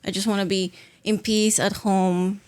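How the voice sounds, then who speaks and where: A woman in her thirties from Peru, whose first language is Spanish, speaks at a medium pitch. She gives a casual personal account as a guest, pausing at times to find words. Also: little pitch movement, darkish tone, clean tone. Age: 30s. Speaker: podcast guest, patient.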